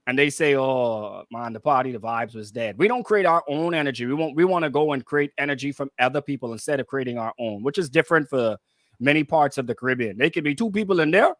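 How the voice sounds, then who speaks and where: A man's voice: mid-range voice, animated, fast talker, fairly bright tone, dry recording, clean studio sound. A man with a Bahamian accent co-hosting radio, explaining things casually and conversationally and often repeating himself. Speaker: radio co-host and DJ.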